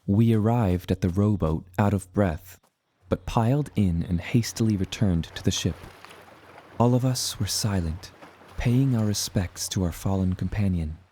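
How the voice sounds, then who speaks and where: A man narrating dramatic scripted audio fiction in the first person, with measured, deliberate storytelling. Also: fairly low pitch, dark tone, a little hiss or hum. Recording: music behind the voice.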